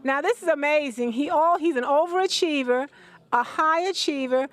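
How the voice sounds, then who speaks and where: A woman's voice: sits high, normal speed, no echo. A woman interviewing guests at an event as the host, repeating their answers aloud for the audience.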